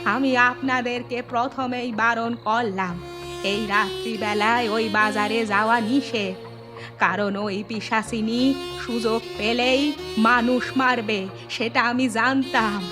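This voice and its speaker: A woman's voice: on the high side, fairly even intonation, slow, music in the background. A woman playing an earnest, deferential village local who gives warnings in a horror cartoon. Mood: grave warning.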